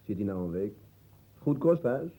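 An actor playing an easygoing but stubborn working-class lodger in a radio drama, offhand and husky. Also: male voice, fairly low pitch, very animated, moderate pace, mellow. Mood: unbothered. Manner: laconic, offhand.

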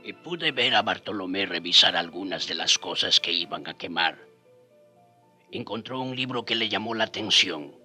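An actor playing a friar's companion, recalling their journeys as a measured radio drama storyteller. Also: male voice, low-pitched, expressive, pushed and bright, soft background music, full character voice. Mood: reflective.

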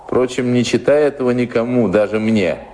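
An actor playing a weary, bored lord of a manor, languid and musing, in an audio drama. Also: male voice, mid-range voice, moderate pace, a little mellow, lightly acted. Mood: bored, dismissive. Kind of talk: theatrical audio drama acting. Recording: very noisy old radio play recording.

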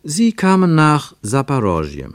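A man narrating a radio drama travelogue in a measured, descriptive way, building long lists. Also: a little high, wildly swooping pitch, dry recording.

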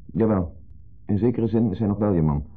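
An actor playing an insecure, jealous husband in a 1970s radio play, hesitant, fretful and full of questions. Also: male voice, fairly low pitch, fairly quick, husky. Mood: bitter, needling. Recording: muffled narrow-band 1970s radio recording.

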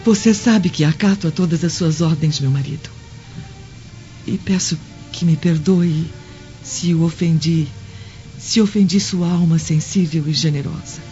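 An actress playing a gentle, devout Roman senator's wife in a radio drama, speaking formally and earnestly. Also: female voice, deep voice, a little slow. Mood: submissive. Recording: muffled lo-fi radio drama, music underneath.

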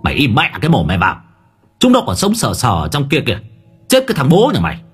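Man voicing an exasperated, husky, cursing father. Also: medium pitch, wildly swooping pitch, fast talker, pitched above the performer's own voice, full character voice. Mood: furious. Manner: scolding, swearing, fed up. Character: exasperated, hot-tempered father cursing his disturbed son.

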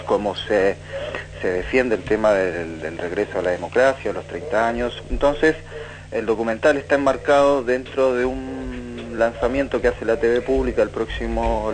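A man's voice: lowish voice, rough recording. A man from Chile answering as a guest in a radio interview, searching for his words. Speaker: documentary director, interview guest.

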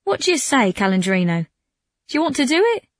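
A woman's voice: fairly high pitch, big pitch swings, fairly quick, no echo, studio quality, voice pushed up. A woman voicing an eager male accomplice in a storybook, agreeable and conniving.

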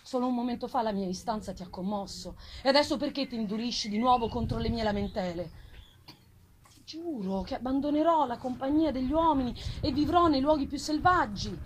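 A woman's voice: on the high side, animated, brisk, slight background noise, voice pushed up, close to an everyday voice. A woman voicing a wretched, vengeful male monster, pleading eloquently in a solemn, theatrical gothic reading. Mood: earnest, insistent. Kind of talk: dramatic gothic novel reading. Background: live plucked guitar music.

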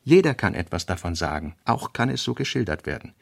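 A man narrating a literary radio drama, reading fragmentary notes in measured, clipped, deliberate phrases. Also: fairly low pitch, sing-song, gravelly, dry recording, studio quality.

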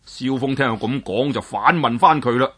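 A man telling a traditional story, dramatic and expressive, swinging his pitch up and down. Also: normal speed, slightly rough.

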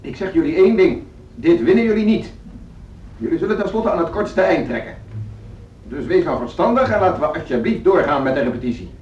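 A man's voice: fairly high pitch, animated, a little fast, darkish tone, natural voice. Echoey, stern male orchestra conductor played by an actor. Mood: threatening, angry. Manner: condescending, formal, commanding. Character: stern, authoritarian music school orchestra conductor, losing patience. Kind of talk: radio drama acting. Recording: echoey, distant, dull noisy radio recording.

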